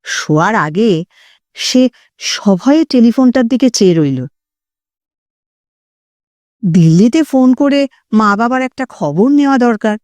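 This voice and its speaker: Female narrator, audio story, fairly high voice, lively intonation, suspenseful dramatic delivery. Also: measured pace, long pauses, dry recording. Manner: expressive, suspenseful.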